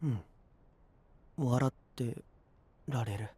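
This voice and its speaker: A man's voice: medium pitch, very slow, mellow, slightly rough, dry recording. An actor playing an easygoing, friendly young man with a light, casual manner in an anime audio drama. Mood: wistful, resigned.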